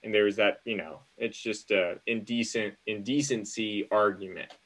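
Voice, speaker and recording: A man's voice: lowish voice, fairly bright tone. A man in his thirties with an American accent, a lawyer thinking aloud as he chats casually and gives hedged advice. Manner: halting, hedging, thinking aloud. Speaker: lawyer answering call-in questions. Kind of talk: casual legal advice chat on a livestream. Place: indoors in front of a green screen, on a video call.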